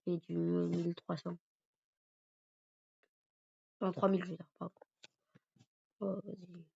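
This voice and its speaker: A man's voice: high-pitched, little pitch movement, slow pace, creaky, a little mellow, slightly rough. A man with a cold and a sore throat, rambling hesitantly through casual commentary over his gameplay. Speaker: gaming YouTuber. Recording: dull lo-fi recording.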